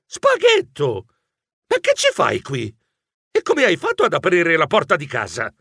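A man's voice: sits high, wildly swooping pitch, moderate pace, a bit bright, no echo, studio quality, voice pushed up, heavily acted. A man playing a timid, fussy, easily flustered male rodent hero in an audio storybook, theatrical and whiny. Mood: startled.

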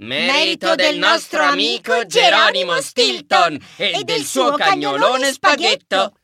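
A man's voice: extremely high pitch, very animated, slow, bright, forceful tone, pitched above the performer's own voice, exaggerated voice. A man voicing an eager, helpful little elf in a children's storybook.

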